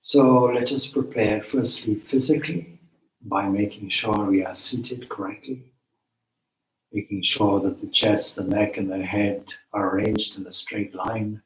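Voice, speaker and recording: Male meditation guide: lowish pitch, very unhurried, deliberate delivery with long pauses, recorded in an echoing room. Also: steady tone. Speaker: meditation teacher, swami. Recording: roomy, echoing space.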